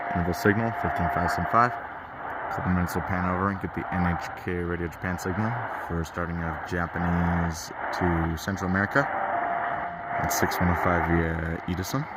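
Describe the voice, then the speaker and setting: Low, even-voiced man over loud static. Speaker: shortwave radio hobbyist commentating. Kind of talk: casual off-the-cuff commentary. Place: outdoors in chilly air. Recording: loud radio static and noise. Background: shortwave radio signals and static.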